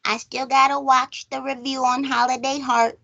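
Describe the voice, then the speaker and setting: A woman's voice: high-pitched, little pitch movement, moderate pace, vocal fry, bright, forceful tone, lo-fi sound. A woman hosting a casual movie review chat, speaking emphatically and with strong opinions, often repeating phrases for stress. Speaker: host of a movie review show.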